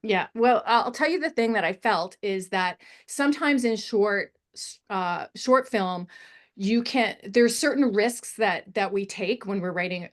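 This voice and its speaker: A woman's voice: medium pitch, measured pace. A woman giving unscripted feedback on a screenplay as a panelist, encouraging and feeling her way through her phrasing. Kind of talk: unscripted screenwriting feedback in a table read discussion.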